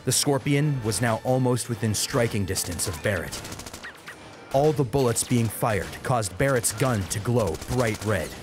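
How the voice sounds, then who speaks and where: A man's voice: mid-range voice, expressive, measured pace, music in the background. A man narrating an action story as an audiobook, in a dramatic scripted style.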